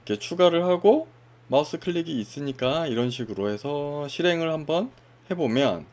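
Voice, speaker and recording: A man casually walking through a software tutorial step by step, pointing things out as he goes. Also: a little high, expressive, moderate pace. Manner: casual step-by-step explaining with fillers. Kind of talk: screen-recorded software walkthrough.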